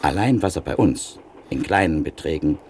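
An actor in a classic radio play playing a middle-aged antique print dealer who tells a story in a measured, reflective way. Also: male voice, rumbling low, expressive, a little mellow, slight background noise. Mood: thoughtful. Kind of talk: classic radio drama acting.

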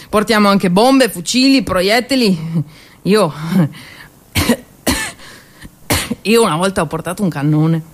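A woman performing a theatrical monologue as a cheerful, naive, motherly mountain peasant who carries wartime supplies, chatty and self-mocking. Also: medium pitch. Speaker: solo stage actress.

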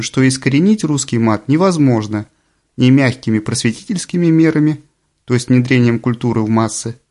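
A man reading a nonfiction audiobook aloud in a measured, deliberate narration, slowing down to stress key phrases. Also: mid-range voice, a little mellow.

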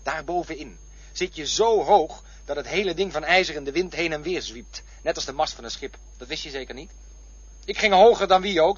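A man's voice: fairly high pitch, husky. An actor playing a boastful, defensive man in a radio play, insistent and taunting as he repeats himself. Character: boastful, defensive man arguing over his boyhood memories. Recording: dull lo-fi radio play recording.

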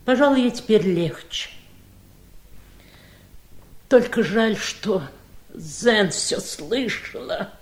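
An actress playing a humble, loyal maid in a radio play, speaking deferentially and hesitantly. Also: female voice, medium pitch, animated, slow, long pauses. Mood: humble, worried. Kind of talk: radio play acting in Russian.

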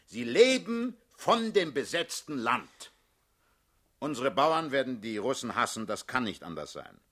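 A man's voice: medium pitch, very animated, a little slow, a bit bright. A male actor playing a hard-headed older revolutionary leader in a radio drama, lecturing and condescending. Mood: grave, insistent. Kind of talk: theatrical radio drama acting.

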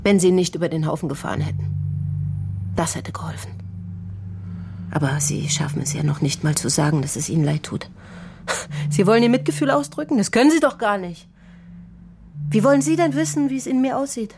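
A woman's voice: medium pitch, a little fast, long pauses, background noise, lightly acted. An actress playing a bitter, worn-out middle-aged working mother in a radio drama, plain-spoken, reproachful and emotionally raw. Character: bitter, exhausted working mother of an injured teenage son. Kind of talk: naturalistic radio drama acting.

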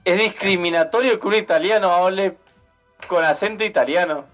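Sarcastic co-host, lo-fi sound. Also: male voice, high voice, normal speed, a bit bright. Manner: dry sarcastic quips. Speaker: podcast co-host. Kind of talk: casual chat. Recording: dull lo-fi sound, faint music.

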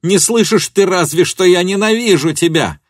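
A male narrator plays a scorned woman in a literary audiobook. The voice is raised high and loud, spitting bitter accusations with venomous contempt. The recording is close and dry. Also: moderate pace, husky, no room sound. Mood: furious, bitter. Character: furious, betrayed young woman hurling scornful accusations.

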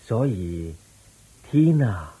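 The Buddha as a serene, gentle teacher who speaks very slowly and calmly. His voice is low, mellow and very rough in texture, set in an old radio play. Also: heavily acted, rough recording. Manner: slow, calm and serene. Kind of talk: 1980s Cantonese radio drama.

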